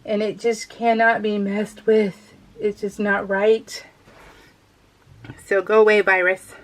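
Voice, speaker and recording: A woman's voice: mid-range voice, little pitch movement, slow pace, rough recording. A middle-aged American woman chatting casually and informally as she narrates her craft project, in a rambling, self-deprecating way. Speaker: crafting vlogger. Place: indoors at a craft table, voiced live while working.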